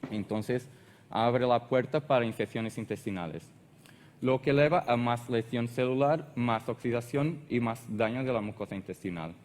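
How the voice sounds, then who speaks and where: A man's voice: medium pitch, darkish tone. Portuguese-accented man lecturing in a hall. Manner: halting, searches for words and restarts often. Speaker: conference speaker. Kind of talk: technical conference presentation.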